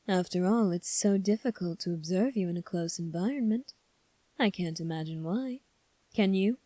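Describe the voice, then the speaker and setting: A sly young prince played by a woman, with a soft mellow tone in a very dry, close recording. He speaks formally and calmly, probing and teasing with a faintly sadistic edge, in the manner of an ASMR audio drama. The pitch sits on the low side for a woman. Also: dry recording. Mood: smooth, teasing. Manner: measured, formal, coolly probing.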